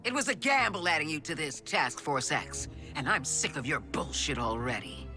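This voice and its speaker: An actor playing a fed-up, hard-nosed criminal squad boss in a video game, curt and contemptuous. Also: male voice, a little high, expressive, moderate pace, pushed and bright, soft background music. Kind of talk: video game cutscene acting.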